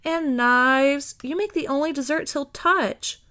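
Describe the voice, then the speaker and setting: A woman's voice: high voice, measured pace, sharp tone, voice pushed up. A woman voicing a cheerful, goofy young man in an audiobook, playful and wheedling.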